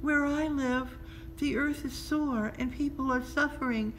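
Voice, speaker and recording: A woman's voice: high-pitched, fairly even intonation, measured pace, voice pushed up. A woman voicing a small, earnest, wondering girl in a storybook, with hesitant, questioning speech. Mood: earnest, pleading. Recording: clearly noisy background ambience.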